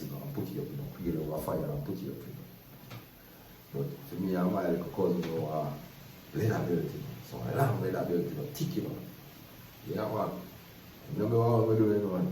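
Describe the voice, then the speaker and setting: A man with a Jamaican accent telling lively, laughing stories in a casual chat. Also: low voice, a little hiss or hum. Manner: lively, laughing, animated storytelling in patois. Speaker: guest storyteller. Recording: roomy echo from a distance.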